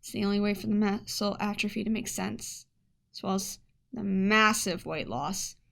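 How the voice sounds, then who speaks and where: A woman's voice: medium pitch, moderate pace, creaky, a bit bright. A woman playing a blunt, weary head scientist of forty, dictating an audio log in a radio play with wry, emphatic asides. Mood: fascinated, worried.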